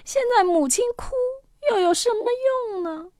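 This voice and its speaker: A woman's voice: very high-pitched, moderate pace, a little mellow, no room sound, studio quality. A woman voicing a proud, bitter young girl in a dramatized fairy tale, with plaintive, self-pitying delivery. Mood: tearful anguish.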